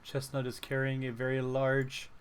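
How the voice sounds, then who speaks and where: A man narrating a tabletop game as game master in a casual, halting, conversational way. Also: mid-range voice, completely flat delivery, clean tone. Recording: faint background noise.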